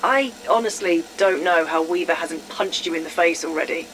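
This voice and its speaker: A woman's voice: fairly low pitch, moderate pace, a bit bright, a little hiss or hum, lightly acted, poor audio. A woman playing a tired, exasperated and sardonic scientist in an audio drama.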